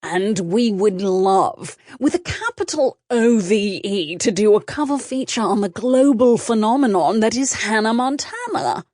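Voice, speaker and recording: A woman's voice: medium pitch, expressive, unhurried, hardly any pauses, darkish tone, dry recording. Sly British male tabloid hack, suave and mocking, for storybook narration performed by a woman, dry close recording. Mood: sly, unbothered.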